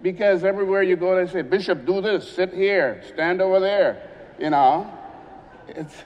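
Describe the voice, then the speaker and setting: Honduran bishop speaking in a church. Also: male voice, sits high, normal speed, in a large hall, muffled recording. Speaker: visiting bishop. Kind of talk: church address.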